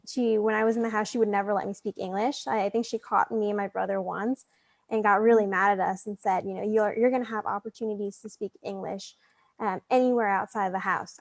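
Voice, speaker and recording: Young woman guest, lots of "like", a bit of fry, dry sound. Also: mid-range voice, no echo. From United States. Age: young adult. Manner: earnest, many fillers like "like". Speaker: scholarship recipient guest. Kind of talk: casual interview answers.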